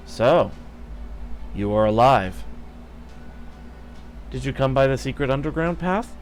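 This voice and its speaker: A man doing an aloof fairy queen, a woman who speaks softly and slowly with cool scorn. Faint music stays in the background. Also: mid-range voice, natural voice.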